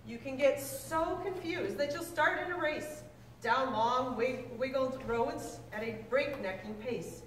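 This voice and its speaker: A woman reading a children's book aloud to a class, with expressive, deliberate storybook phrasing. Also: high-pitched. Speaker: teacher reading aloud to sixth grade students. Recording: echoey hall, distant mic, faint noise.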